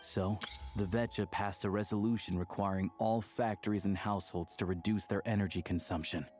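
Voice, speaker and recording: Man playing a low-voiced, wry game townsman. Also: fairly even intonation, a little fast, darkish tone, faint music behind, close to an everyday voice. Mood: dry, resigned. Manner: laconic, easygoing, dry. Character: wry, world-weary local townsman. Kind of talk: video game character dialogue.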